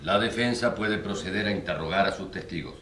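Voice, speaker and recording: An actor playing an impartial judge presiding in a radio courtroom drama, formal, curt and authoritative. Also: male voice, sits low, fairly quick, fairly bright tone. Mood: stern, formal. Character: stern, impartial male judge presiding over a tribunal. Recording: roomy echo, faint background noise.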